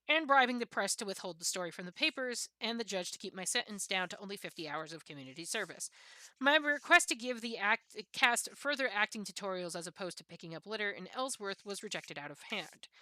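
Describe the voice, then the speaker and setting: Bright-voiced woman reading aloud. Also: medium pitch, no echo. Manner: expressive reading with occasional stumbles and self-corrections. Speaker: streamer reading a book aloud. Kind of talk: reading aloud from a comic book of essays.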